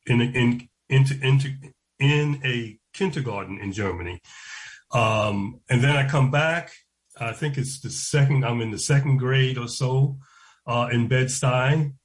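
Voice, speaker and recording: Slow-speaking man in his seventies. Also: medium pitch, low-quality recording. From New York. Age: 70s. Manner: slow, reflective, repeats words when restarting. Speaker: guest, education activist. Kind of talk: interview, personal recollection.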